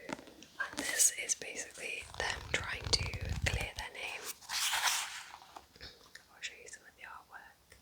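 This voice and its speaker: A woman speaking in a soft, chatty, informal ASMR whisper, gently recommending things as a host in an unhurried way. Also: poor audio. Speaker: ASMR host reviewing books.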